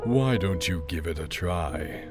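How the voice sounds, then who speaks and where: A man's voice: sits low, animated, unhurried. A man playing a smug, sinister casino wish-granter in an audio drama, coaxing in a theatrical manner. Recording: music under the voice.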